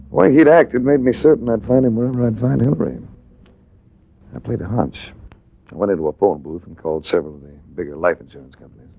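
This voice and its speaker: A man's voice: lowish voice, creaky, breathy edge, exaggerated voice. A man playing a wry, self-assured hardboiled investigator in 1940s radio drama style, narrating and talking. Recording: tinny, noisy vintage radio recording.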